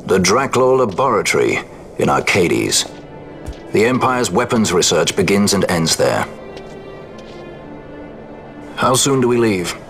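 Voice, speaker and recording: Cool game adventurer, lowish n dry, faint music. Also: male voice, very animated, normal speed, vocal fry, fairly bright tone, full character voice. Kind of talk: video game cutscene acting.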